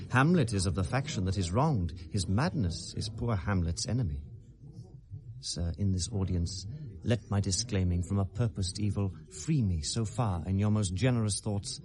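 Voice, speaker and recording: An actor with a British accent playing a brooding, sardonic young prince in a classical radio drama, theatrical and deliberate in delivery. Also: male voice, on the low side, a crowd in the background, lightly acted. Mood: solemn, earnest. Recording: 1970s radio drama recording.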